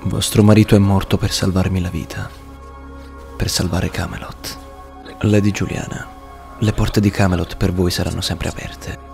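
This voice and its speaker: A man playing a just, dignified king in an amateur cartoon dub, speaking in a measured, formal and earnest way. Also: deep voice, level tone, creaky, darkish tone, faint music behind. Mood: grave, mournful. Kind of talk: amateur cartoon fandub acting.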